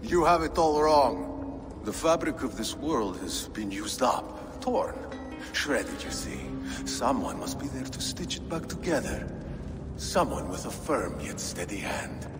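An actor playing a menacing, smug middle-aged villain in a video game, theatrical and mock-gentle as he relishes each word. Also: medium pitch, very animated, a little slow, over music. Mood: grandiose. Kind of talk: video game cutscene acting.

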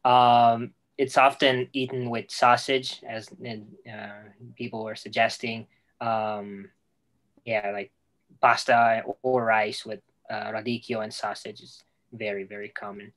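Young man, italian accent, very slow, hesitant, halting speech, webcam audio from a room with bookshelves. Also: fairly low pitch, level tone, a bit bright, rough recording. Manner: hesitant, many fillers and restarts. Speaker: guest cook. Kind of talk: casual video call chat. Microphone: video call, webcam.

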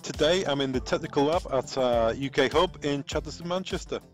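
A man's voice: on the high side, brisk, faint music behind. A man presenting a technical tutorial voice-over, giving instructional step-by-step directions. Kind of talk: scripted tutorial voice-over with informal walk-through.

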